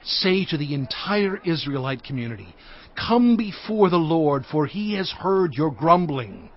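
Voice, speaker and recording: A man's voice: on the high side, very animated, a little slow. A man playing Moses as a stern leader, speaking in an earnest, emphatic way, on dull audio with faint music. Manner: earnest, emphatic preaching delivery.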